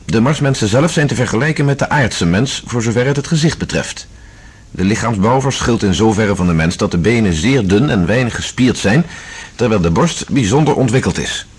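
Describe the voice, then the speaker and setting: An actor playing an earnest, methodical scientist-explorer in a Dutch science fiction radio drama, speaking in a measured, matter-of-fact way. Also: male voice, medium pitch, slightly rough, natural voice.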